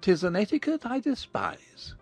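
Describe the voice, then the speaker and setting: Gravelly, grumbling old gentleman. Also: medium pitch, huge pitch swings, a little slow, soft background music, full character voice. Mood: disdainful, stubborn. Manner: emphatic, dismissive, formal. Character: gruff, stubborn older gentleman husband, sardonic and disdainful. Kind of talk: dramatized audiobook character voice.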